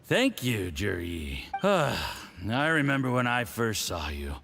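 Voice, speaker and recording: An actor playing a smug, scheming foreign diplomat with a patronizing, mocking manner. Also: male voice, on the low side, wildly swooping pitch, slow, creaky, fairly bright tone, faint music behind, exaggerated voice.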